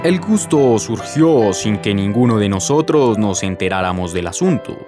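A man plays a wry, self-important narrator in a radio soap. His fairly low voice is theatrical and deliberate, with guitar music clearly behind it. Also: animated, lightly acted. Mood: wry recap. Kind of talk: scripted dramatic narration.

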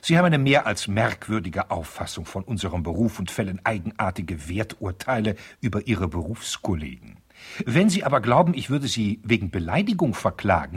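Radio drama role: pompous, offended older attorney, very raspy, swooping intonation, formal and cutting. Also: male voice, on the low side, exaggerated voice. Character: pompous, spiteful older lawyer, smugly offended. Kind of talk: radio play, letter read aloud in character.

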